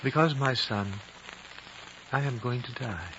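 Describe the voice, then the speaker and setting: An actor playing an ancient, wise and dying high lama in a 1950s radio drama, solemn, fatherly and measured. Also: a bit bright. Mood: solemn, tender. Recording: dull, noisy old radio recording.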